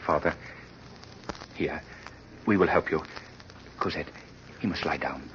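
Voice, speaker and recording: An actor playing an earnest, grateful young husband, speaking emphatically in vintage radio drama style. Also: male voice, extremely low pitch, a little slow, fairly bright tone. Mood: hushed concern. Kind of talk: 1930s radio drama acting. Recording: dull, noisy vintage radio recording.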